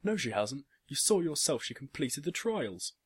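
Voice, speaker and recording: A man's voice: a little high, sing-song, moderate pace, husky, pitched above the performer's own voice. A man voicing a devoted, proud father who speaks passionately in a story. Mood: defiant. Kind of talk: audiobook character dialogue.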